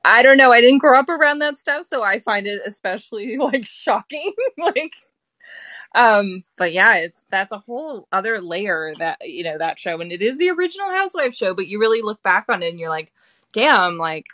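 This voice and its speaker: A woman's voice: medium pitch, normal speed, dry recording. A woman with a mid-range voice chats wryly and animatedly, laughing as she goes. Her sound is close and dry. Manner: animated, wry, laughs a lot. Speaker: guest commentator. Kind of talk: casual chat.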